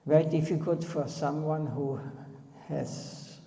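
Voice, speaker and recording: Male spiritual teacher, deep mellow gravelly tone, unhurried halting sermon, roomy reverberant hall sound. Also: fairly even intonation. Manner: slow, halting delivery with many fillers and restarts. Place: temple hall. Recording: echoey hall, long reverb.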